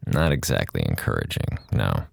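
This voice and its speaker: A man's voice: rumbling low, very animated, normal speed, vocal fry, no room sound, clean studio sound, full character voice. A man playing a wry, anxious and chatty space station crewman in an audio drama, speaking with sarcasm and hesitation. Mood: uneasy.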